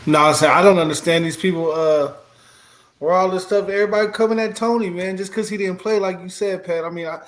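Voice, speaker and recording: A man's voice: high voice, fairly even intonation, fast talker. A man co-hosting a casual sports podcast, talking in long, exasperated, rambling stretches. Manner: rambling, exasperated, restarts a lot. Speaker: podcast co-host.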